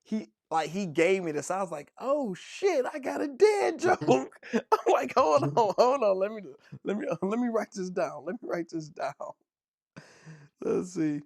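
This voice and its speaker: A man's voice: high voice, sing-song, unhurried, creaky, no echo, studio quality. A man co-hosting a casual podcast, playfully telling dad jokes and laughing at them. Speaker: podcast co-host.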